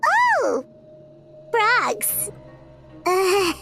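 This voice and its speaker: A woman voicing a small, chatty, childlike fairy companion in a video game, curious and expressive with a squeaky voice. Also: very slow, music in the background, exaggerated voice. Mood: uneasy, squeamish. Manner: expressive, refers to self in third person.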